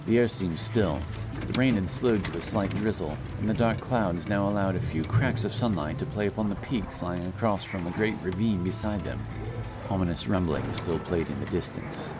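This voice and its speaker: A man narrating a fantasy audiobook in a solemn, measured storytelling style. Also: low voice. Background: music and rain sound effects.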